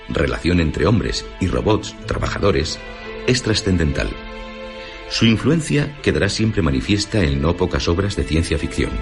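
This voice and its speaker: A man with a Castilian Spanish accent giving formal, emphatic scripted narration on the radio. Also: low-pitched. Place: radio studio. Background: clear background music.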